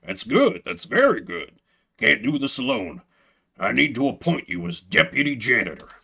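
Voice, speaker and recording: A man voicing a solemn, grandiose janitor from a video game, speaking formally and gravely as if chores were military duty. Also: medium pitch, sing-song, normal speed, pushed and bright, heavily acted. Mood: solemn, commanding. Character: solemn, grandiose adult male janitor devoted to maintenance.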